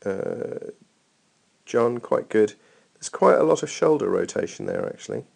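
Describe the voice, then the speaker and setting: A man's voice: on the low side, huge pitch swings, a little slow, vocal fry, a little mellow, slightly rough. A man giving informal running technique feedback over a training video as a coach, thinking aloud in a hesitant way.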